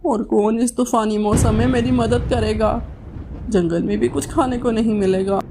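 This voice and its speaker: Woman acting poor farmer pigeon dad, highish voice, earnest, worried, cartoon, rain and thunder noise behind. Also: fairly even intonation, moderate pace, close to an everyday voice. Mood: dismayed. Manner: earnest, fretful. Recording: noisy, rain-like storm sounds behind.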